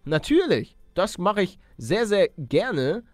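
A young man giving casual, slangy video game commentary as a let's play host, animated and chummy with his viewers. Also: high voice, slow pace, dry recording.

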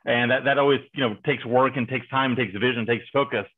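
A man's voice: medium pitch, steady tone, brisk. A middle-aged man hosting a video call interview, chatty and playful with many fillers. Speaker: host. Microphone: headset on video call. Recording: tinny phone-like video call line.

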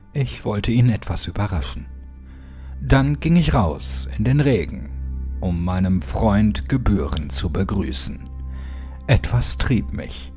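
A man narrating a first-person horror story as a scripted audiobook, with deliberate, dramatic phrasing. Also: on the low side, dark tone. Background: music.

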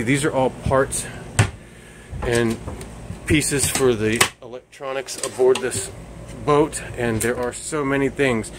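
A man in his forties talks in a casual, thinking-aloud way, as if showing someone around. His voice sits at an average pitch. It is caught on a handheld camera with plenty of ambient noise. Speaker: sailing vlogger. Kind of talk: casual vlog narration while working. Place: aboard a boat and outdoors at a marina. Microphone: handheld camera at arm's length. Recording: noisy handheld action-camera audio with ambient hum.

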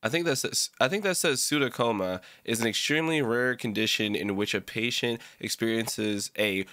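A young American man doing a casual reaction, reading text aloud haltingly with slangy asides. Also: mid-range voice, sharp tone, no room sound. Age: young adult. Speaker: reaction video creator. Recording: prominent background guitar music. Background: prominent instrumental music with guitar.

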